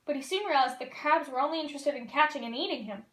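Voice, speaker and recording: High-voiced woman reading a kids' story. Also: fast talker. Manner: lively, expressive. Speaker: costumed storyteller. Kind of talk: reading a picture book aloud to children. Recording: roomy echo.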